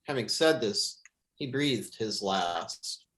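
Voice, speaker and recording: A man who is a pastor, reading scripture aloud in a solemn, measured way. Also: lowish voice, animated, fairly bright tone.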